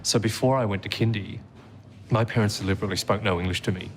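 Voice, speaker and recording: Deep-voiced man, reflective. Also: steady tone, slight background noise. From Australia. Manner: reflective storyteller, measured with frequent "um"s. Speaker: interview guest. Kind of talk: conversational interview. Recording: music playing underneath.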